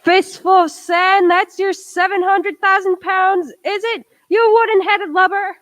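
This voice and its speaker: A woman playing a hot-headed, mutinous pirate in a radio play, with scornful, rough sailor talk. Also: very high-pitched, steady tone, a little slow, a bit bright. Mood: angry, scornful.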